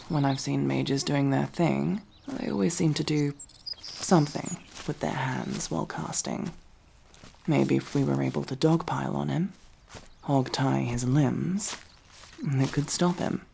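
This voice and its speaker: A woman's voice: rumbling low, slow pace, vocal fry, mellow, slight background noise, full character voice. A woman playing a wry, loyal elf rogue in an intimate ASMR fantasy roleplay, with a casual, teasing, dryly supportive way of talking. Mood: thoughtful, encouraging.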